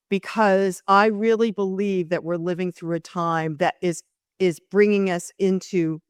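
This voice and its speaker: A woman's voice: fairly low pitch, smooth, no room sound, studio quality. A woman hosting an interview podcast, talking earnestly with many fillers and restarts. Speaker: podcast host.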